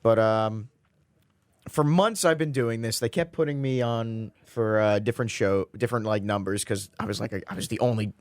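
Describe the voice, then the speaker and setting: A man's voice: lowish voice, normal speed, dry recording. Young man hosting college radio. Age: young adult. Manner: rambling, self-mocking, laughs often. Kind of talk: casual radio chat. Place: radio studio.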